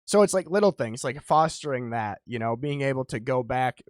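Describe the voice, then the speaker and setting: A man's voice: mid-range voice, animated, brisk, no echo, studio quality. A man in his thirties hosting a podcast, talking in a candid, rambling, self-deprecating way. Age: 30s. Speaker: podcast host. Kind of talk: casual podcast chat.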